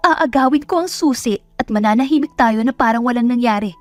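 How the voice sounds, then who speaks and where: A woman's voice: fairly high pitch, a little fast, soft background music, pitched above the performer's own voice, close to an everyday voice. A woman voicing a scornful, jealous young rich woman in an audio romance drama, indignant and haughty as she spits out her words. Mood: vengeful, scheming. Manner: indignant, haughty, spitting insults.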